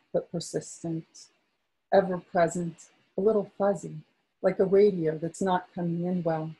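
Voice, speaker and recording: Soft-spoken woman, slow and mellow. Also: fairly low pitch, husky, low-quality recording. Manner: slow, soft-spoken, with gentle fillers between readings. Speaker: poet at a book launch. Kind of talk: poetry reading with casual asides.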